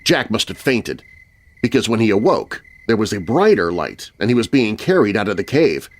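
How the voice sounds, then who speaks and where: A man's voice: lowish voice, big pitch swings, moderate pace. A man narrating scripted scary stories for children in a suspenseful, theatrical manner. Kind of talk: dramatic scripted spooky storytelling for children. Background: music bed.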